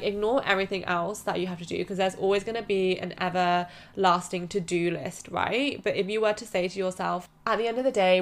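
A woman in her twenties with a stuffy nose, hosting a casual solo podcast and giving chatty, encouraging advice full of "like" fillers. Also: lowish voice. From United Kingdom. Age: 20s. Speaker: podcast host.

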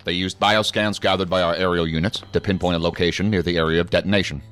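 An actor playing a stern, commanding federal agency superior in a science fiction audio drama, speaking in a formal, clipped, authoritative way. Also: male voice, low-pitched, steady tone, fast, fairly bright tone, clean tone, faint music behind. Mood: stern, official.

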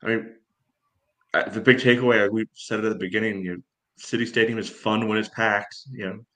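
Deep male voice, flat intonation, casual sports podcast co-host, rambling, peppered with "you know". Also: a little fast. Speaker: soccer fan podcast co-host.

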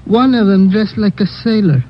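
An actor playing a drunken, self-pitying peasant field hand with a Spanish accent, slurring mournfully in an old radio play. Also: male voice, sits high, normal speed. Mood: tipsy, mournful. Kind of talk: old-time radio comic character acting. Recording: muffled old radio recording, faint noise.

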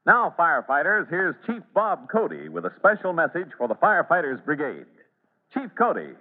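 A man's voice: fairly high pitch, huge pitch swings, normal speed. A man narrating and announcing an old-time radio serial in an emphatic, theatrical scripted style. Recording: reverberant, tinny vintage radio recording.

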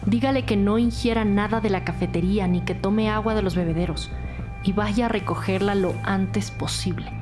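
A woman's voice: medium pitch, fairly even intonation, normal speed, close to an everyday voice. A woman acting a businesslike, authoritative police detective in an audio crime drama, polite, direct and formal. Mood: urgent. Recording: music bed behind the voice.

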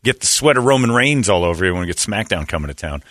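A man's voice: on the low side, expressive, quick pace, fairly bright tone, dry recording, studio quality. Joking male morning radio host. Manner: joking, laughs often. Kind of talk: casual comedic morning show banter.

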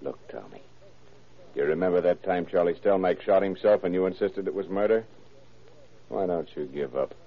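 An actor playing a shrewd, commanding police detective in an old-time radio drama, with a dry, clipped, authoritative delivery. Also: male voice, sits low, lively intonation, moderate pace. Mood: firm, assured. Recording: muffled, narrow-band vintage radio recording.

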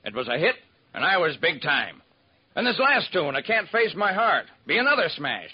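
A brash male music publisher from an old radio drama, talks in slangy, punchy lines. His voice is high and husky, on a dull vintage recording. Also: very animated, moderate pace. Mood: earnest. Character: brisk, self-made male music publisher, colloquial and eager.

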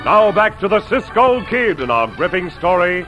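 A man's voice: sits high, sing-song, normal speed. An American man announcing a radio adventure serial in a dramatic 1950s style. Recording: muffled old radio broadcast. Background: music.

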